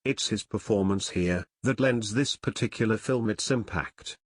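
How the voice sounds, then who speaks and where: A man reading a scripted film review in a measured, wry way, as narrator and critic. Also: lowish voice, husky.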